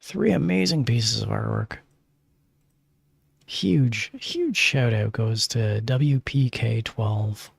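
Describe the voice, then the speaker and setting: A man's voice: medium pitch, animated, slow, creaky, breathy edge, no room sound, clean studio sound. An older man, chatting casually on his livestream in a gushing way, with lots of fillers. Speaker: streamer.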